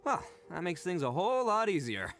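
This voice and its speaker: Loud-hearted young demon gang leader, slangy, lively and pitched up, for a video game. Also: male voice, fairly quick, noisy background. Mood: frank, earnest. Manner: slangy, hesitant ums, big exclamations. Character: brash, good-hearted young oni gang boss. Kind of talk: video game character voice acting.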